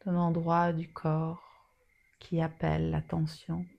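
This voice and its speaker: Slow, low-pitched woman guiding relaxation. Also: completely flat delivery, highs cut off. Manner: soothing, soft and breathy at times, long pauses. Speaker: yoga nidra guide. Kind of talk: guided relaxation meditation.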